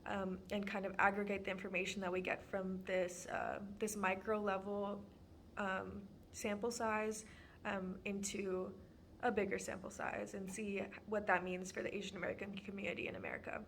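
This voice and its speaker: A woman's voice: mid-range voice, level tone, normal speed, a little hiss or hum. A young woman from Texas introducing her student documentary in an earnest, prepared way with frequent ums. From Houston, Texas. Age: young adult.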